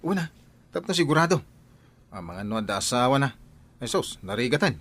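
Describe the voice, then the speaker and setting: Man acting excitable drinking pal, always questioning, voice swings around, radio drama. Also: mid-range voice, brisk. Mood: doubtful. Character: excitable, curious drinking buddy of a village man. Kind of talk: Philippine radio-style audio drama acting.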